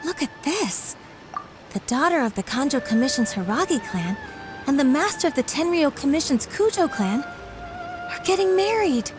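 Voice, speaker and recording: A woman's voice: sits high, sing-song, moderate pace, music in the background. A chatty townswoman. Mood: gossipy. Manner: chatty, gossipy. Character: gossiping townswoman, curious and surprised. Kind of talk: video game cutscene dialogue.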